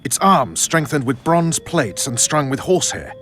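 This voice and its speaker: A man's voice: mid-range voice, sing-song, normal speed, a bit bright, soft background music. A man voicing a proud, roguish military officer, commanding and sardonic, in a video game. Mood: sly, urgent.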